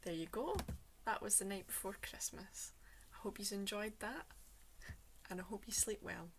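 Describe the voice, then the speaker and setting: A woman with a Scottish accent reading an expressive, animated bedtime story for children, sometimes dropping toward a whisper. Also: mid-range voice, moderate pace, slight background noise.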